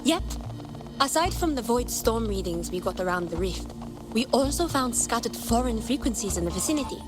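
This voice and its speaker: A woman's voice: a little high, lively intonation, normal speed, music in the background, close to an everyday voice, poor audio. A woman playing a diligent researcher who explains her findings in a video game. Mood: informative, friendly. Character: diligent female researcher reporting frequency data.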